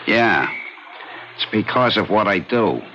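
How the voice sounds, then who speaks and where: An actor playing a menacing, casual debt collector with slangy, veiled threats in an old radio drama. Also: male voice, on the low side, big pitch swings, takes it slowly. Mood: quietly menacing. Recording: dull, noisy old radio recording.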